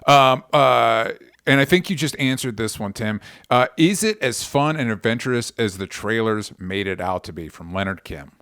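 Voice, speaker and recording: Guy hosting a podcast, medium pitch, stumbles over his thoughts, swears, dry sound. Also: animated, a bit bright, clear, resonant tone, dry recording. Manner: halting, self-correcting, freely swearing. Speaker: podcast host. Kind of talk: casual chat.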